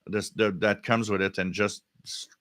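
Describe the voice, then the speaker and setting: Male livestream host. Slightly low, crisp bright tone, halting off-the-cuff delivery, casual replies to chat. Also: flat delivery, no room sound, studio quality. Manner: halting, thinking aloud. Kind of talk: casual live stream chat, answering viewer comments.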